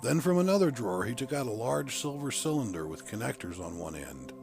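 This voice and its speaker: Give a man narrating fiction in the first person, with a lively, wide-moving pitch and a gravelly edge. He leans into the start of each phrase like a dramatic audiobook reader. Music plays along with his voice. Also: fairly quick.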